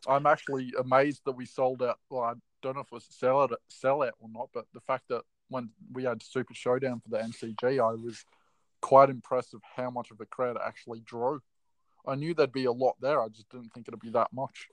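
A man's voice: mid-range voice, little pitch movement, brisk, no echo, poor audio. Chatty Australian man, dry sound. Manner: chatty, tells stories readily. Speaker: podcast guest. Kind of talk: casual chat.

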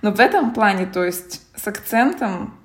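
A Russian-accented woman co-hosts a casual podcast, talking things through at a relaxed pace. Her voice sits in an ordinary middle register. She muses and restarts now and then. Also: medium pitch, moderate pace. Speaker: podcast co-host.

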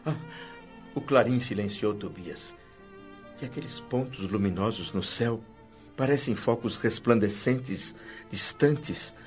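A man's voice: fairly low pitch, a little slow, close to an everyday voice. An actor playing a curious, awed male newcomer spirit in a radio drama, asking questions. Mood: wondering. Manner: questioning, wondering. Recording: dull lo-fi radio recording with music.

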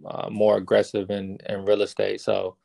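A man in his thirties, a real estate investor, answering interview questions in a relaxed, casual way with many fillers. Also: on the low side, normal speed, gravelly, no echo. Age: 30s.

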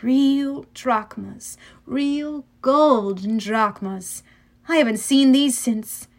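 A woman voicing a smooth, condescending male underworld ferryman with a British-leaning accent, as in an audiobook. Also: sits high, drawn-out words, exaggerated voice. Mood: greedy. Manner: suave, drawling, condescending.

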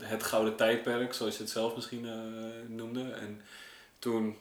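A man's voice: fairly low pitch, little pitch movement, unhurried. A man hosting a casual podcast conversation, informal and searching for his words. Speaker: podcast interviewer. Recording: roomy, echoey space.

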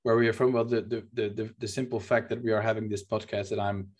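A man's voice: lowish voice, level tone, quick pace. A man from the Netherlands with a Dutch accent, a native Dutch speaker, hosting a podcast in earnest, searching talk with frequent fillers. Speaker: podcast host. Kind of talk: reflective podcast discussion.

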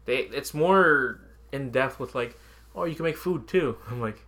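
A man's voice: mid-range voice, expressive, unhurried, fairly bright tone. A man co-hosting a podcast, chatting casually about video games and often chuckling as he talks. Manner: chuckles often while talking. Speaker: podcast co-host.

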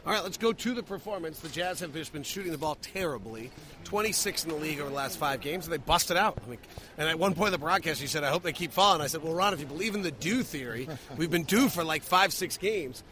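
A man's voice: on the high side, animated, fast talker, a crowd in the background. A man hosting a sports postgame podcast, talking emphatically as a broadcaster does. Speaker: podcast host, radio play-by-play broadcaster.

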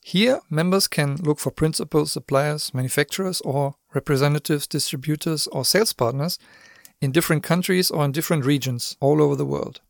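A man's voice: on the high side, a little fast, gravelly, no echo, clean studio sound. German-accented man hosting a podcast. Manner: friendly, explanatory, with occasional asides to the listener. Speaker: podcast host. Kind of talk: scripted podcast monologue.

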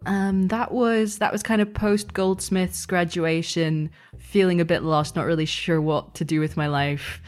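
A woman's voice: on the low side, steady tone, measured pace, few pauses. A young woman chatting casually as an interview guest, in a tentative, informal way. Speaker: guest, audio fiction producer and game master.

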